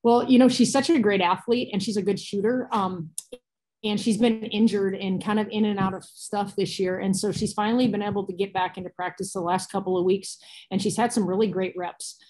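American lady coach doing postgame interview on a video call, talks quick, pretty flat pitch, plain spoken. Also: poor audio. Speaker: basketball coach. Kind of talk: postgame press conference answers. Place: small cinder-block room indoors. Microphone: laptop or phone on a video call.

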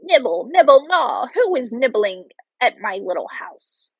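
A woman's voice: high-pitched, big pitch swings, unhurried, slightly rough, dry recording, lo-fi sound, full character voice. A woman voicing a wicked old witch in a storybook, cruel and mocking, scolding and giving orders. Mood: sly, sing-song. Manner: scolding, commanding.

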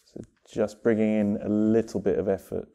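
Male meditation guide, very soft dark tone, smooth and clear, short phrases with long pauses. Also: lowish voice, level tone. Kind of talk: guided meditation, gentle instruction.

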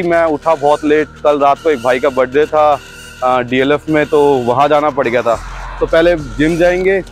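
High-voiced young vlogger over music. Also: male voice. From India. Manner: chatty, repeats "ठीक है". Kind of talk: casual vlog chat. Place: outdoors and in a gym.

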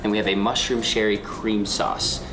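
A man's voice: lowish voice, little pitch movement, normal speed, low-quality recording. A young man working as a chef explains his dish in a matter-of-fact way. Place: restaurant dining room. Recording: background music.

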